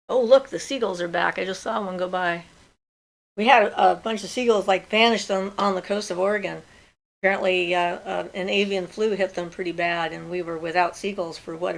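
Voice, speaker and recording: A woman's voice: medium pitch, creaky, a bit bright, poor audio. An older woman hosting a livestream from home, chatting casually and answering viewers' questions as she thinks aloud. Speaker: host of a magic Q&A livestream.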